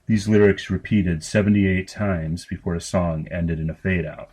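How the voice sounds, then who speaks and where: A man's voice: sits low, steady tone, normal speed, darkish tone, husky. A man reading scripted narration of comic grotesque fiction. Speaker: story reader.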